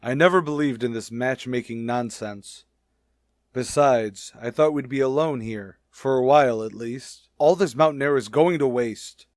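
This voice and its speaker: An actor playing a grumpy, pompous older husband, grumbling in the manner of an old radio sitcom. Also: male voice, mid-range voice, moderate pace, dry recording, clean studio sound, lightly acted. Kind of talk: 1940s-50s radio sitcom acting.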